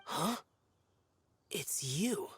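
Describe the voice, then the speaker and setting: A man's voice: fairly high pitch, animated, drawn-out words, a bit bright, slight background noise, heavily acted. A man playing a grave, aloof immortal guardian in an anime-style game, stern, with terse formal phrasing. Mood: curt. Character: solemn, aloof immortal male guardian spirit, youthful-looking and grave.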